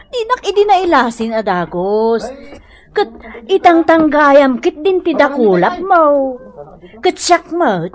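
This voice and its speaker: Emotional Ilocano village woman, high, slow, plaintive delivery for radio drama. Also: heavily acted. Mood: emotional, exclaiming.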